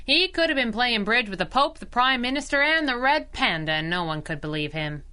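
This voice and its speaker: A woman's voice: on the high side, animated, a little fast, bright, forceful tone, clear, resonant tone. An actress playing a plucky, teasing young lady chauffeur in a 1930s-style radio drama, wry and sassy.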